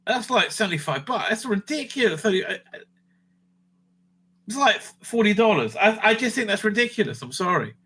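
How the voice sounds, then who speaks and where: A man with a fairly high, strikingly bright and sharp voice hosts a casual review stream. He rambles off the cuff with firm opinions, often checking in with a short "right?" at the end of a thought. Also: big pitch swings, normal speed, husky. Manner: rambling, opinionated, repeatedly tags phrases with "right?". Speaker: host of a livestream review show. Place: indoors at home.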